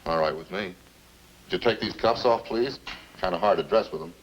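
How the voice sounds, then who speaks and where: An actor with an American accent playing a defensive, slangy small-time murder suspect in a 1950s radio drama, colloquial and grumbling. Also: male voice, low-pitched, fairly quick, dull audio, close to an everyday voice. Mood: grumbling, compliant. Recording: old radio recording, faint noise.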